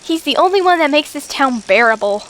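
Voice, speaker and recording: A sulky, earnest teenage schoolgirl heroine, acted by a woman for a comic dub. The voice is high and youthful, with expressive delivery. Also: a little fast. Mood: sulky, awkward. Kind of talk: comic fandub voice acting.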